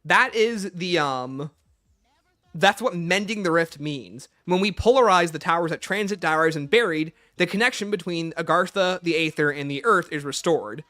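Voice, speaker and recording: A man's voice: on the high side, moderate pace, bright, forceful tone. A man hosting a casual livestream, chatting informally and playfully as he answers viewer questions. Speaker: livestream host.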